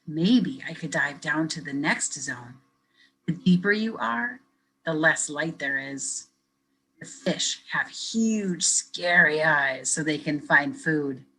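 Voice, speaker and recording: A woman educator reading a picture book aloud to children, pausing to ask them questions in a gentle, expressive way. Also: low-pitched, slightly rough, low-quality recording. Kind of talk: children's read-aloud with teacher commentary.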